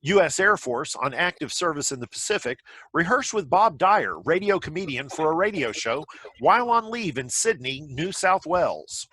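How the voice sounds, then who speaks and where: A man's voice: on the high side, sing-song, normal speed, pushed and bright, no echo, full character voice. A man playing a 1940s radio news announcer in an amateur play reading over a video call. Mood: newsy. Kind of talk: amateur table read of scripted news.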